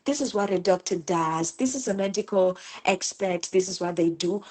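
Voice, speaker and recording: A woman's voice: medium pitch, moderate pace. A woman from Zimbabwe, a homeschooling mother, talking in a casual interview and telling her stories earnestly and with feeling. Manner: earnest, animated storytelling with repeated words.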